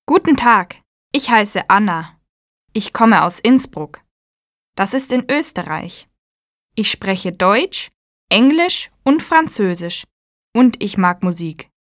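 A slow-speaking teen girl. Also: mid-range voice, expressive, no echo, clean studio sound. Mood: friendly. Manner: slow, careful self-introduction. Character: teen girl introducing herself. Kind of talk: scripted textbook audio.

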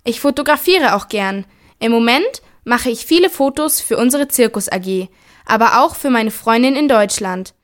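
Woman as a schoolgirl, clear, plain, fairly high voice. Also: expressive, moderate pace, close to an everyday voice. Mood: friendly. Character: schoolgirl describing her hobbies. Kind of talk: scripted textbook audio.

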